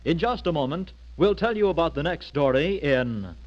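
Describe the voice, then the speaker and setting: A man's voice: a little high, lively intonation, moderate pace. A man announcing scripted programme credits in the style of old-time radio. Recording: dull vintage radio recording.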